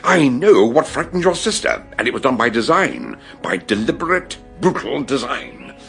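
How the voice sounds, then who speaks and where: Gravelly, theatrical old British detective. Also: male voice, medium pitch, very animated, normal speed, fairly bright tone, faint music behind. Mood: grimly determined. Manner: ruminative, exclamatory, old-fashioned turns of phrase. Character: eccentric older learned male detective doctor, erudite and theatrical. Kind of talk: radio drama acting.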